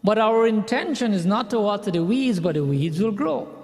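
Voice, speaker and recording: A man's voice: sits high, lively intonation, normal speed, darkish tone, in a large hall. A man from Guyana leading a satsang, preaching in a conversational way with personal stories and frequent restarts. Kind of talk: sermon.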